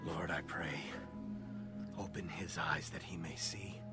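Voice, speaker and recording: Solemn male prophet, lowish pitch, calm authority, scripture drama, loud musical backing. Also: a little slow, loud music behind the voice. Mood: hushed prayer.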